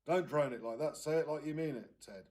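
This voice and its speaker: A man voices an impatient teenage sister from a children's storybook. The character is bossy and brisk, speaking in commands, slightly raised from the reader's normal voice. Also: on the high side, a little mellow, close to an everyday voice. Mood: exasperated.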